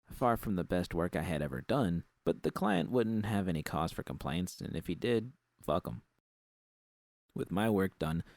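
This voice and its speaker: A man narrates a first-person story in a very low voice with heavy vocal fry. The sound is close and dry, with no room around it. He reads as a scripted audiobook narrator. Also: darkish tone, no room sound.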